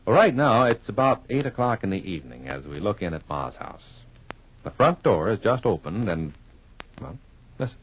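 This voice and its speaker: A man announcing on 1950s radio, reading scripted pitches and narration in an emphatic, folksy, polished way. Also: very animated, moderate pace, breathy edge. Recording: muffled vintage radio recording.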